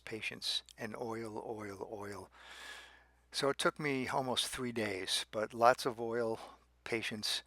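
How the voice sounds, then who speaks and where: A man narrating an unscripted how-to about a repair, speaking methodically and haltingly in short phrases with pauses between them. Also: on the low side, vocal fry, pushed and bright, no room sound. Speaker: radio restorer and presenter.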